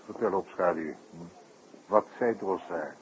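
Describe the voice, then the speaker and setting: Genial, low-voiced teasing friend. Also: male voice, measured pace. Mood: teasing, curious. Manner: bantering, conversational. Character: Harold, genial, teasing local male guide and friend. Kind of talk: 1960s Dutch radio drama acting. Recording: dull, noisy 1960s radio recording.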